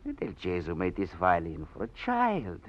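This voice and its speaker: Animated elderly maestro. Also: male voice, low voice, exaggerated voice. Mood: gentle, instructing. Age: older. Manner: halting, repeats words for emphasis. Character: elderly Italian male violin maestro, proud and protective. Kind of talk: 1940s radio drama acting. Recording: dull old radio recording.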